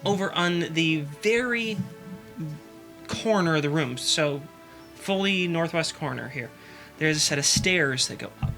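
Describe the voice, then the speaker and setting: Man game master, bright and a bit high, sniffly, unhurried scene-setting over faint music. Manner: descriptive, halting with fillers. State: sniffly from allergies. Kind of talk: narrating a tabletop game. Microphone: desk microphone.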